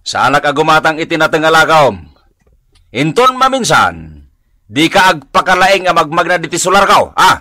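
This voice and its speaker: A grumpy old Ilocano man in a comedy radio drama. His voice is forceful and high, and it leaps about wildly as he scolds and blusters in an Ilocano accent. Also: sing-song, moderate pace, dry recording. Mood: irritated, scolding. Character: cantankerous loud old man guarding his yard.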